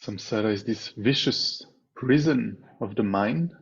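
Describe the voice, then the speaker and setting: Husky low-voiced man guiding a meditation. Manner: measured, pausing between short phrases. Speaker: meditation teacher. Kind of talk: guided meditation instruction. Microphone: video call microphone. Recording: slightly dull, lo-fi video call audio.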